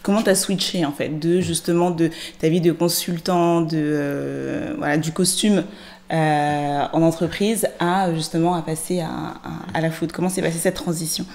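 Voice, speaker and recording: A woman's voice: deep voice, fairly even intonation, moderate pace, a little mellow. A young woman interviewing a podcast host, asking curious questions with frequent hesitations, into a studio microphone. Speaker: guest interviewer. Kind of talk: conversational interview. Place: studio set with plants and glass-block wall. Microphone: studio mic on stand.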